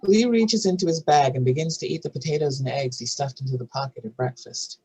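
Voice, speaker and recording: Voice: mid-range voice, fairly quick, darkish tone, breathy edge, rough recording. A narrator reading out stage directions and scene headings in a scripted table read.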